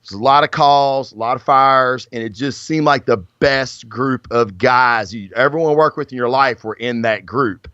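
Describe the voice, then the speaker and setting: A man's voice: mid-range voice, moderate pace, few pauses, bright, forceful tone, clean tone, dry recording. A man in his forties, a firefighter guest, telling stories in a rambling, casual way. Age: 40s. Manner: rambling storytelling with many fillers. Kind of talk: casual podcast chat. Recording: dull dry lo-fi audio.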